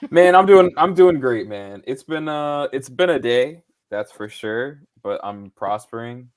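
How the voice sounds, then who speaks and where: A young man co-hosting a podcast, telling a casual, slangy story with lots of swearing, his voice going hoarse. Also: mid-range voice, animated, a little slow. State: losing his voice. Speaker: podcast co-host. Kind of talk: casual chat.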